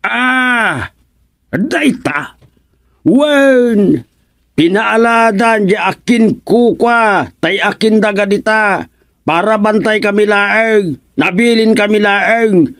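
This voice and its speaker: A man doing a fussy, excitable old villager. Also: sits high, heavily acted. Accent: Ilocano. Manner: exclamatory, pleading, animated. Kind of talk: radio drama acting.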